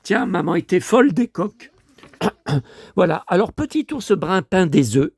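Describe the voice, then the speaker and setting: Hoarse grumbling older Frenchman. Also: high voice, big pitch swings, a little slow, no echo. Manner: grumbling, exasperated, talks to himself. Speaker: vlogger showing flea-market finds. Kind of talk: casual monologue to viewers.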